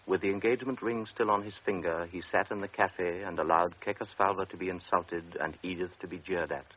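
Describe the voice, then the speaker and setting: Deep husky radio-drama narrator. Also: male voice. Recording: dull lo-fi radio broadcast.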